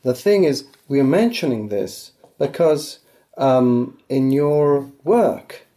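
A man who is a publisher hosting a podcast interview, asking measured, deliberate questions and often answering "I see". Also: mid-range voice, lively intonation, warm tone.

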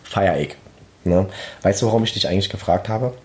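Low fast-talking Berlin man. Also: slight background noise. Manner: brash, self-assured Berlin banter. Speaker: content creator. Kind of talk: casual chat with partner.

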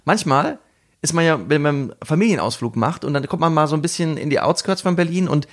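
A man's voice: fairly high pitch, animated, fast talker. A man in casual conversation, rambling in loose fragments.

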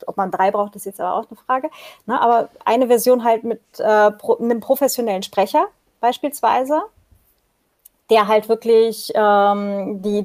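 A woman's voice: medium pitch, a little mellow. A woman explaining her ideas at length in a casual discussion, with frequent fillers and restarts. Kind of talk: casual discussion about audiobook publishing.

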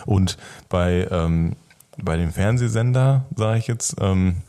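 Mellow, low-pitched man in casual chat. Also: slow. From Germany. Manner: colloquial, often ends phrases with a tag question. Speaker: podcast co-host.

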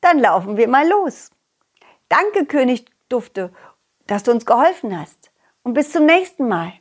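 Woman voicing a high, lively storybook dog. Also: measured pace, slightly rough, exaggerated voice. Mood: excited, friendly. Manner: affectionate, encouraging, addresses children directly. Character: confident, loyal, cheerful dog telling children his adventures. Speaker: puppeteer hosting a children's audio story podcast. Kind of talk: improvised children's storytelling.

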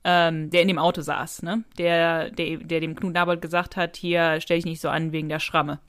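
A woman's voice: low-pitched, little pitch movement, moderate pace, fairly bright tone, dry recording, studio quality. A woman co-hosting a podcast, talking in a casual, giggly and loosely phrased conversational way. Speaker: podcast co-host.

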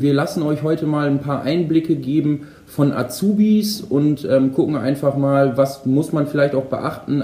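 A male podcast host with a slightly high voice, leading a relaxed group chat. His tone is mellow and he often fills pauses with "ähm". The room adds a roomy echo. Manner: friendly, frequent "ähm" fillers. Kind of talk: casual moderated podcast chat.

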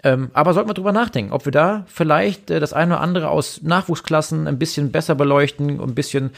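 A man co-hosting a motorsport podcast in casual chat, rambling and animated with many fillers. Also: a little high, fast talker, creaky. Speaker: podcast co-host, former racing driver.

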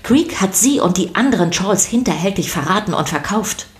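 A woman's voice: mid-range voice, expressive, normal speed. A woman narrating a scripted children's story podcast, addressing her listeners playfully and directly.

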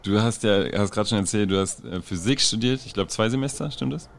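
Low, creaky-voiced male interviewer. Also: fairly quick. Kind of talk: conversational interview questions.

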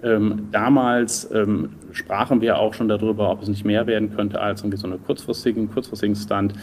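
A man's voice: on the low side, little pitch movement, a little fast, slight background noise. A man in his thirties from the German Rhineland, answering interview questions conversationally in a thoughtful way, searching for words. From Düsseldorf, Rhineland, Germany. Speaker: interview guest, entrepreneur.

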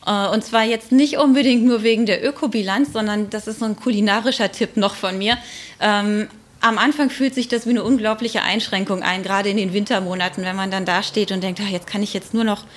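A woman with a mid-pitched voice speaks as an expert guest in an interview. She explains in an informal, thoughtful way, often pausing on filled hesitations as she goes. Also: steady tone.

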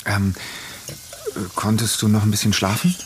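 An actor playing an uneasy man on a trip with friends in a naturalistic audio drama, speaking hesitantly and haltingly. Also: on the low side, level tone, a little hiss or hum, lightly acted. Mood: polite, hesitant.

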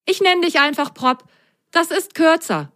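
A woman voicing an eager, adventurous young male sock in a children's story, chatty and excitable. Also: extremely high pitch, measured pace, pitched above the performer's own voice, exaggerated voice. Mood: chatty, excited.

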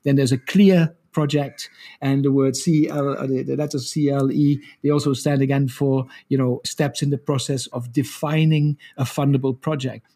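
A middle-aged man in an interview, telling stories in an animated way and laughing often, with frequent restarts. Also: normal speed. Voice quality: says he has a deeper voice. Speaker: guest, co-founder of a school. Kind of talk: conversational interview storytelling.

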